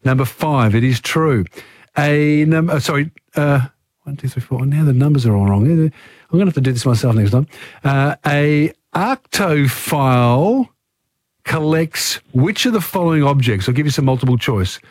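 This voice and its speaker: A man's voice: mid-range voice, animated, a little mellow, no echo. A man hosting an overnight radio quiz, chatty and joking with callers. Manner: chatty, quick asides, jokey. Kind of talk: casual overnight radio talkback. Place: radio studio. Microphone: studio microphone.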